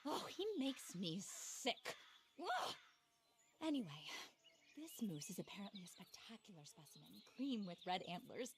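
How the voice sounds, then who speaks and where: A woman's voice: mid-range voice, very animated, unhurried, slight background noise. An actress playing an eccentric, easily flustered lady naturalist in a video game, speaking in a genteel, fervent, theatrical way. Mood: disgusted. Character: earnest, flustered, eccentric lady naturalist who adores animals. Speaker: character in a video game cutscene. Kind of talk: dramatic game voice acting.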